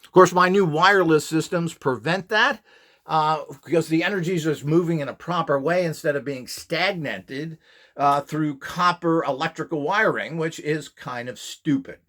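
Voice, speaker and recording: A man's voice: on the high side, normal speed, pushed and bright. A man giving an informal explainer talk as an instructor and seller, lecturing in an opinionated, emphatic way. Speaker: instructor and seller of esoteric devices and courses.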